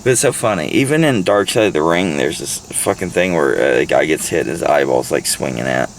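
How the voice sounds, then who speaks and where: A man's voice: very deep voice, animated, a little fast, gravelly. An American man in his thirties giving a rambling, casual book report with heavy swearing and bits read aloud, recorded on a handheld camera in a car. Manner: crude, heavy swearing, irreverent, scattered. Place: inside a parked car. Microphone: handheld phone or camera at arm's length. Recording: handheld recording with faint background noise.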